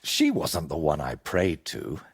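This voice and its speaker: A low, husky older man, a troubled medieval painter in a video game. His speech swings widely in pitch, earnest and haunted, weighed down by guilt and desperation. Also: huge pitch swings, measured pace, heavily acted. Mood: troubled, confessional. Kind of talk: video game dialogue acting.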